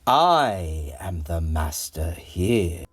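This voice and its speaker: A man's voice: low voice, very animated, extremely slow, husky, no room sound, soft background music, exaggerated voice. A man playing a proud, cold, genetically superior warlord in an audio comic, speaking in a formal, grandiose manner. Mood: imperious. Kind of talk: dramatic audio comic acting.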